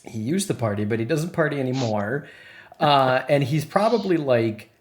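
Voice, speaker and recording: A man with a Wisconsin accent hosting a casual podcast chat about a film, chatty and animated. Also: medium pitch, normal speed. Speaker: podcast host.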